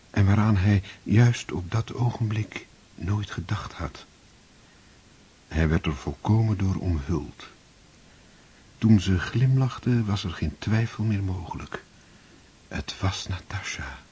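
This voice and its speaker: A man narrating a radio drama in a slow, grave, measured way. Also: fairly low pitch, mellow, breathy edge.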